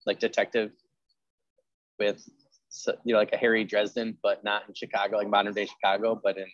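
A man's voice: fairly low pitch, little pitch movement, brisk, no echo, lo-fi sound. A man hosting a casual interview about genre fiction, talking in a rambling way full of filler phrases. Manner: rambling, peppered with "like" and "you know". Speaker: interviewer and host; history teacher.

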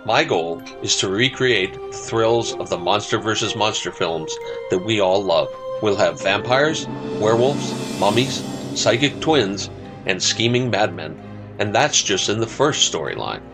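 A man with a low voice delivers a scripted ad pitch for his own work. Music plays clearly behind him. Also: very animated, unhurried. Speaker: author promoting his work.

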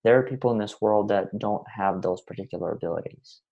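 A man's voice: on the low side, fairly quick, gravelly, narrow, tinny audio. A man in his twenties, originally from Russia, talking earnestly in long, winding sentences as a podcast guest. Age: 20s. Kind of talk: reflective interview talk.

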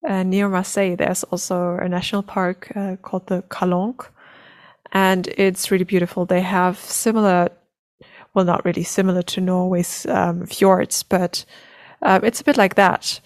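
A woman's voice: lowish voice, flat delivery, normal speed. A woman speaking as a podcast guest, telling travel anecdotes in a casual, informal way.

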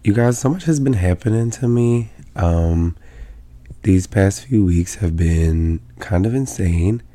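A man hosting his own podcast, talking casually with a sick, raspy voice, rambling with lots of fillers and swearing. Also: fairly low pitch, lively intonation, slow, warm tone. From Pennsylvania. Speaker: podcast host. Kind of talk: casual solo podcast chat.

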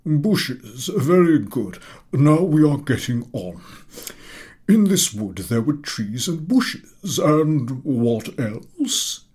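A man's voice: mid-range voice, big pitch swings, a little mellow, breathy edge, voice pushed up, full character voice. A man putting on a pompous old professor. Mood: coaxing. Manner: drawn-out, coaxing schoolmaster questioning. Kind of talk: audiobook character voice.

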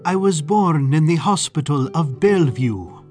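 A man voicing a young woman of a traveling caravan, who talks brightly and earnestly. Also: low-pitched, lively intonation, measured pace, soft background music, pitched above the performer's own voice. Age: 20s. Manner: bright and chatty, turning grave.

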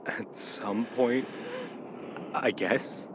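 A man playing a caring, gently exasperated young boyfriend in a naturalistic audio drama, coaxing and a little hesitant. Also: medium pitch, steady tone, drawn-out words. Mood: reluctant, tender. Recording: dull sound with vehicle road noise.

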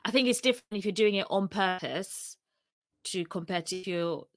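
A woman's voice: mid-range voice, moderate pace, no echo, studio quality. A woman from Uganda with a mostly English accent carrying Ugandan traces, chatting casually and laughing often. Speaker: podcast guest co-host. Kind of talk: casual chat.

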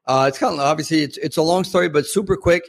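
A man's voice: on the high side, quick pace, gravelly. A man whose first language is Spanish, talking as a podcast guest in a rambling, casual way with many fillers. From Mexico. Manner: rambling, lots of fillers and restarts. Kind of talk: casual interview chat.

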